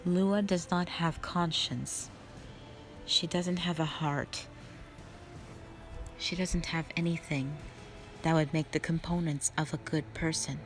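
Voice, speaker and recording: A woman playing a wistful, scheming yet playful witch sister in an audio roleplay, speaking softly and reflectively to the listener. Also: low voice, steady tone, slow, long pauses, soft background music. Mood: grave, worried.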